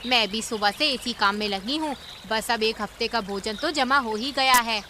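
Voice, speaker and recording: A woman's voice: on the high side, normal speed, bright, forceful tone, slight background noise. A woman voicing a kindly, sensible aunt bird in a cartoon story. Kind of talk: Hindi animated cartoon story acting.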